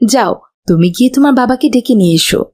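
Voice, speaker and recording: A woman voicing a gentle, reassuring mother in a children's cartoon, patient and soothing. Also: a little high, animated, quick pace. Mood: calm, reassuring. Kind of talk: children's moral cartoon voice acting.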